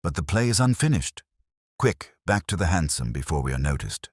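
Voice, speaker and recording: Guy doing a sharp detective for an audiobook, really deep voice, brisk and exact, kinda lecturing, animated. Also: husky, no room sound, clean studio sound, full character voice. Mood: urgent. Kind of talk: audiobook character dialogue.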